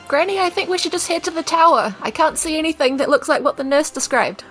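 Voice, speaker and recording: High bright girly gamer woman, playful rpg table chat, faint music. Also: animated, quick pace. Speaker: tabletop game player. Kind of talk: playful casual game chat.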